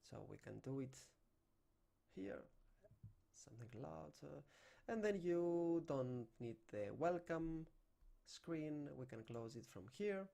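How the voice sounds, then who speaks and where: Young greek guy doing a java screen tutorial, bouncy kinda gravelly voice. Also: fairly high pitch, big pitch swings, slow pace, long pauses, mellow. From Greece. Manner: non-native English phrasing, talking through on-screen steps. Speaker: programming instructor. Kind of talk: screen-recorded coding tutorial. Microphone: desk microphone.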